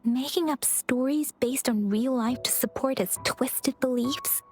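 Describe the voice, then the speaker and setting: Gentle high-voiced ally. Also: female voice, unhurried. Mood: calm. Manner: soft-spoken, earnest, composed. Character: calm gentle young woman ally. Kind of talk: game cutscene voice acting. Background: game music.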